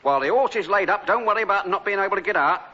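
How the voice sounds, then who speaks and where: An actor playing an awkward, kindly neighbour from the rag-and-bone trade, with a London accent, in a British sitcom. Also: male voice, sits high, animated, racing, bright, forceful tone, lo-fi sound, full character voice. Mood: reassuring.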